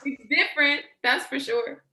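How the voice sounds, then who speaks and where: A woman's voice: sits high, normal speed, pushed and bright, exaggerated voice. A woman acting a blunt, teasing, protective young woman in a staged play reading, with casual, sweary, sardonic delivery. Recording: roomy, echoey sound.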